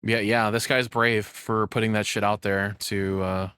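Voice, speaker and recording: Male reaction streamer, lower register, loose informal commentary, dry sound. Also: little pitch movement, measured pace, sharp tone, smooth, no echo. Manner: informal, profane.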